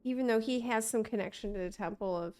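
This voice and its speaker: A woman game streamer casually thinking aloud in a halting, puzzled way as she plays. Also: a little high, a little mellow. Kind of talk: casual live playthrough commentary and dialogue reading. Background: video game music.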